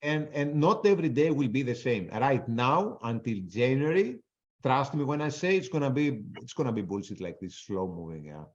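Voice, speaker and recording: A man's voice: medium pitch, expressive, normal speed. Blunt man with an accent, lo-fi webinar. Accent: non-native English. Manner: blunt, casual, swears freely. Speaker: trader presenting a webinar. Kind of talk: live trading commentary with audience Q&A. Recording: dull lo-fi webinar audio.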